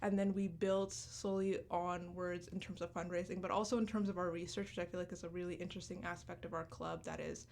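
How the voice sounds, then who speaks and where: A woman's voice: on the low side, flat delivery, gravelly, darkish tone. A woman in her twenties, raised in Canada after an early childhood in Uganda, talking earnestly as a guest in a radio interview, with many "like"s and "um"s. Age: 20s. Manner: earnest, talks quickly with fillers like "like" and "um". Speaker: student club founder, guest. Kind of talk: radio interview, casual chat.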